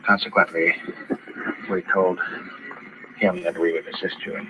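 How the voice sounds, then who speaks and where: A male police officer explaining things haltingly in candid, on-duty conversation. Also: deep voice, level tone. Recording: very noisy, dull old radio recording.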